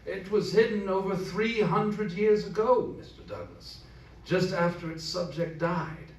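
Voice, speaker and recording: A man's voice: high voice, a little slow. Man acting a solemn reverend, very echoey. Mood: grave. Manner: grave, formal, ominous. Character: solemn village reverend telling dark local legends. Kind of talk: amateur old-time radio drama acting. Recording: echoey room, distant microphone.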